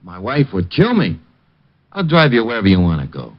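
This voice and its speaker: An actor playing a streetwise gas station attendant with blunt, slangy talk in an old radio drama. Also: male voice, fairly low pitch, huge pitch swings, a little fast, loud music behind the voice. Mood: grudgingly helpful. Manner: blunt, slangy, belligerent grammar-mangling. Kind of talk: 1940s radio drama acting. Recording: dull vintage radio recording.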